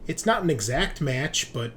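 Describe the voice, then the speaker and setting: A man's voice: fairly high pitch, sing-song, normal speed, a bit bright. A man narrating a tabletop roleplaying game casually as game master. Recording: clear background noise.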